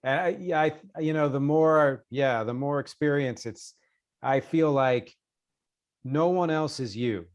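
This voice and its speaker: A man with a slightly high voice speaks as an interviewer. His delivery is conversational and reflective, offering thoughtful, affirming remarks. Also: a little slow. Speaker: interviewer and music show host. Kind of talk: casual, reflective interview chat.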